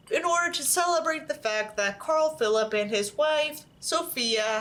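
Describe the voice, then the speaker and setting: A woman's voice: medium pitch, bright, forceful tone. A woman reading a book aloud as an online creator, with an irreverent, exasperated manner and casual, sweary asides.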